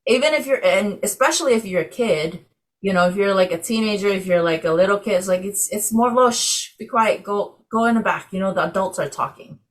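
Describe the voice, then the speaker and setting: A woman's voice: mid-range voice, fairly quick. A woman from Samoa leading a casual class discussion over a video call, chatty, with many fillers. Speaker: class facilitator.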